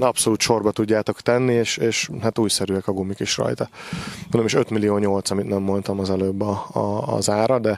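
A man in his forties giving an unscripted sales walkthrough as a machinery dealer outdoors, with hesitant, repetitive phrasing. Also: fairly low pitch. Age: 40s. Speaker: farm machinery dealer presenting stock. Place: outdoor gravel machinery yard. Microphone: handheld microphone with windscreen.

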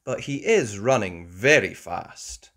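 A woman reading a children's storybook aloud as host, in expressive narration. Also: a bass voice, slow. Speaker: storybook reader, channel host.

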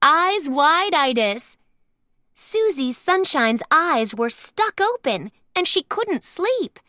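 A woman's voice: high voice, sing-song, slow, pushed and bright, dry recording, clean studio sound. A woman narrating a children's read-aloud storybook, expressively sounding out each phrase.

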